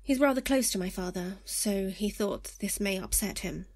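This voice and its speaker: A woman's voice: medium pitch, moderate pace, a little mellow, natural voice. A young woman acting a defensive, resentful nineteen-year-old daughter in an audio drama.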